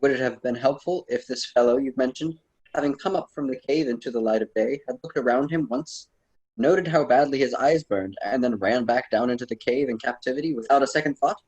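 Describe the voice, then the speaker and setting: A man playing a courtly, slightly husky poet-mentor. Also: medium pitch, fairly quick, darkish tone, dull audio, natural voice. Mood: patient, persuasive. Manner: formal, old-fashioned, instructive phrasing. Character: courtly, patient ancient Roman poet acting as mentor. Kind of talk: theatrical radio drama dialogue.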